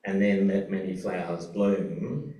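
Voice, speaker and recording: A man on a conference panel, speaking in a measured, thoughtful way with some hesitations. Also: low-pitched, fairly even intonation, mellow, highs cut off. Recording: roomy conference hall, mic far off.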